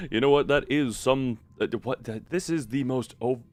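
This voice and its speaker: A man's voice: mid-range voice, darkish tone. A man live-streaming a video game with casual commentary and chat with viewers, rambling in half-finished thoughts with playful sound words.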